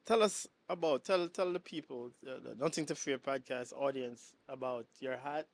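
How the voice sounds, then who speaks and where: A man hosting a podcast, asking a guest questions in a casual, halting way, often repeating words as he searches for the phrasing. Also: mid-range voice. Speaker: podcast host.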